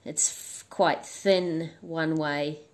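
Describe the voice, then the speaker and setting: A woman with a husky, flu-worn voice talks through a crochet tutorial in a relaxed, chatty way. She restarts her sentences and fills pauses with ums as she explains each step. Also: deep voice. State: hoarse, recovering from flu. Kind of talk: casual instructional talk.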